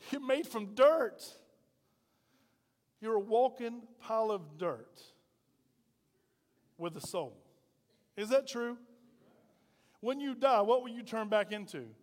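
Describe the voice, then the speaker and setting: A man's voice: high voice, animated, slow pace, long pauses, a little mellow. A middle-aged man preaching a sermon as pastor, emphatic and folksy, with repeated rhetorical questions. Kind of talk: sermon to a congregation. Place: church sanctuary. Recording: reverberant hall sound.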